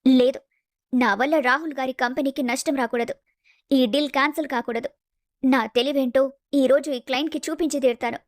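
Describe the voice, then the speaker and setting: Woman acting a high-pitched, earnest young female engineer. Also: fairly even intonation, a little fast, no echo, studio quality, close to an everyday voice. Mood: resolute. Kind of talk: dramatised audio story acting.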